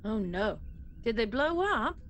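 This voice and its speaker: A woman's voice: fairly high pitch, big pitch swings, unhurried, slight background noise. A woman playing a tabletop game, chatting casually and playfully as she improvises. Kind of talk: casual improvised role-play chat.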